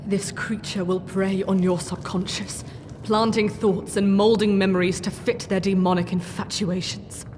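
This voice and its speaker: A woman's voice: medium pitch, flat delivery, moderate pace, a little mellow, natural voice. Resolute female game companion, played by a woman: grave and formal, mid-range voice, reverberant recording with noisy ambience. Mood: grave warning.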